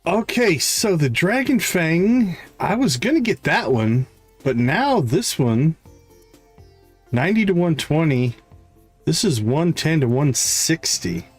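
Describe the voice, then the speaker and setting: A man livestreaming a game, thinking aloud in casual commentary and reading item stats in a deliberate, musing way. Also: fairly high pitch, very animated, breathy edge, faint music behind.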